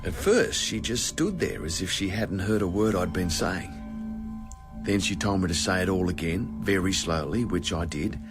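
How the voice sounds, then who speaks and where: An actor playing a shaken, dutiful gardener giving a plain-spoken, deliberate statement in an audio drama. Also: male voice, low voice, expressive, music in the background. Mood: troubled, subdued. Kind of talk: dramatised witness statement, storytelling.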